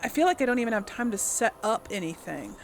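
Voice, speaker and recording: A woman commentates casually on a video game as she plays, thinking out loud. Her voice sits fairly high and swings a lot in pitch. She sounds exasperated, and there is faint noise behind her. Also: very animated, fast. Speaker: host playing a video game. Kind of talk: casual let's play commentary.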